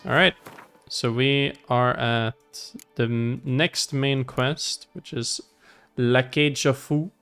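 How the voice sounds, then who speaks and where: Creaky-voiced man streaming a game. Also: mid-range voice, little pitch movement, slow, fairly bright tone, soft background music. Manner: chatty, thinking aloud. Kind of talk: casual live gameplay commentary.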